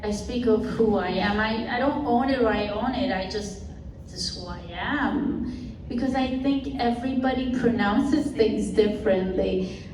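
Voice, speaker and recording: A woman speaking slowly and earnestly as a panelist at a live event. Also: medium pitch, a crowd in the background. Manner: slow, deliberate, earnest. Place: event hall. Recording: very echoey hall, far off, background noise.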